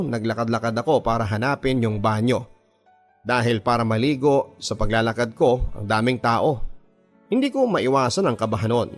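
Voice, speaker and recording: Filipino guy doing radio drama narration, confiding and dramatic, medium pitch, music under him. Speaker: radio drama narrator reading a listener's letter. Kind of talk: scripted first-person story narration. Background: music bed.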